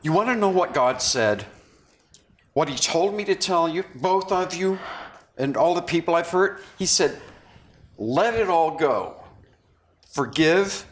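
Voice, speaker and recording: An actor at a table read playing a remorseful, philosophizing man, with a halting, confessional and earnest manner. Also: fairly high pitch, animated. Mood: solemn, preaching. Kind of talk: read-through of a stage drama script. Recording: clearly noisy room recording.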